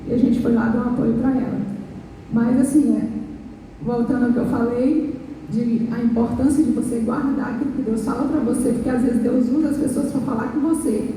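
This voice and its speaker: Hoarse Brazilian woman testifying in church. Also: on the high side, fairly even intonation, dark tone. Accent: Brazilian Portuguese. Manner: earnest, halting retelling with restarts. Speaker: pastor and worship minister. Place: large church hall. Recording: echoey church hall, distant mic, faint noise.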